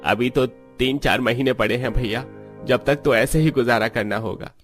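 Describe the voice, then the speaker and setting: A man's voice: mid-range voice, normal speed, soft background music, close to an everyday voice. A man playing a plain-spoken, agreeable village husband in a cartoon story. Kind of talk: Hindi cartoon story dialogue.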